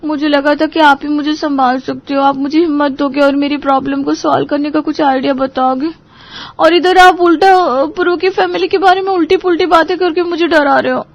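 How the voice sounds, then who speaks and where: A woman's voice: sits high, fairly even intonation, a little fast, lo-fi sound. A woman playing an anxious, earnest young bride-to-be in an audio story, with a girlish manner. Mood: upset, pleading. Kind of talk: Hindi audio story acting.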